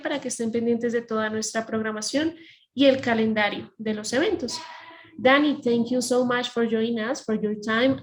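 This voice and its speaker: A woman's voice: on the high side, low-quality recording. A woman hosting a webinar on a video call, delivering a formal introduction. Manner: formal, polished. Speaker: webinar host. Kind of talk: scripted introduction.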